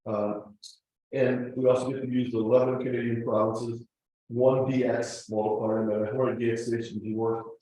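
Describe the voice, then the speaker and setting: A man's voice: mid-range voice, flat delivery, a little fast, dark tone. A man giving an informal slideshow lecture as a club presenter, explaining rules in a conversational, explanatory way with casual asides. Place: echoey indoor meeting room. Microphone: distant room microphone. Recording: very echoey room, distant mic.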